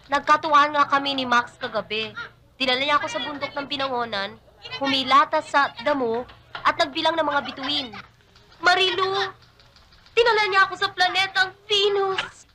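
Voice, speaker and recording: An actress playing an excitable young woman with dramatic delivery. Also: high voice, normal speed, slight background noise. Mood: dreamy.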